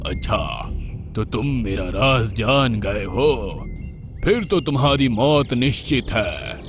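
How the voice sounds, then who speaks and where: A man's voice: medium pitch, expressive, slow pace, music in the background. A man voicing a bloodthirsty demon disguised as a crane in a children's cartoon, with an ominous delivery. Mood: menacing. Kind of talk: children's cartoon character acting.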